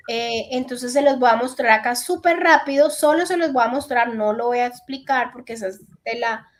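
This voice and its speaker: A woman in her thirties with a high voice, teaching an online English class over a video call. She walks through each point step by step and keeps checking that her students follow. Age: 30s. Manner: explains step by step, checks in with repeated "listo". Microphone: computer mic on a video call.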